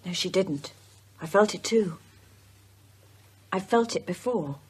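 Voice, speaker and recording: An actress in a radio drama playing an intuitive, uneasy woman who is gentle but insistent and speaks earnestly. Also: medium pitch, expressive, takes it slowly, a little hiss or hum.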